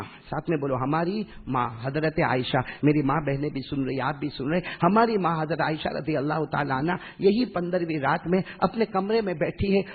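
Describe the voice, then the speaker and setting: A man's voice: on the high side, breathy edge, narrow, tinny audio. A man preaching an Islamic sermon in a mosque, impassioned and rhetorical, repeating phrases and prompting the congregation to answer. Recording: reverberant hall, long echo.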